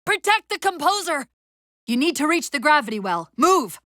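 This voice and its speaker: A high, lively female AI companion from a sci-fi video game. She gives crisp military commands and briefings with brisk, wry urgency, her pitch moving freely, recorded very dry and close. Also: dry recording, full character voice. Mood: urgent, alarmed.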